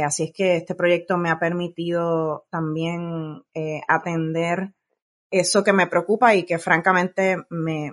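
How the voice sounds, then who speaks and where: Thoughtful Puerto Rican woman, lowish voice. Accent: Puerto Rican Spanish. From Puerto Rico. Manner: thoughtful, many filler pauses and restarts. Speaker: guest, science communicator. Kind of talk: casual interview conversation.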